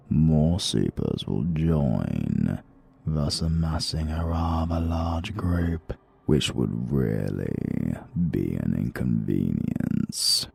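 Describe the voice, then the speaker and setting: A menacing supernatural advocate from a dark audiobook, played by a man. He speaks British English in an extremely deep, husky voice, very slowly and formally. His cold confidence never breaks. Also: full character voice. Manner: unhurried, formal, coldly confident.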